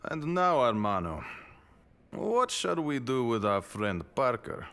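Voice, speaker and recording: An actor playing a proud, easily insulted gambler and crime boss in an audio spy game, speaking. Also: male voice, medium pitch, big pitch swings, background noise. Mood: gloating menace. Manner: slow, forceful, threatening delivery.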